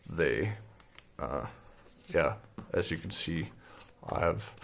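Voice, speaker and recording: A man from South Africa whose first language is Afrikaans, with a South African accent, explaining a craft in a relaxed, hesitant way with many pauses and fillers. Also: low voice. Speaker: zine maker. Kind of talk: casual tutorial.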